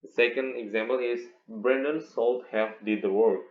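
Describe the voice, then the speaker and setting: A young Indonesian man with an Indonesian accent gives an instructional presentation as a student teacher, halting and often repeating words. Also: medium pitch, fairly even intonation. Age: young adult. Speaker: English education student presenting a teaching video. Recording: echoey room, muffled, faint noise.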